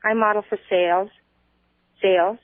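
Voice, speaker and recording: Female caller, forties, medium pitch, halting yet insistent in argument, thin narrow telephone sound. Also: fairly even intonation, no echo. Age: 40s. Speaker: caller to a radio show. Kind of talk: argumentative call-in conversation. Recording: tinny narrow phone line.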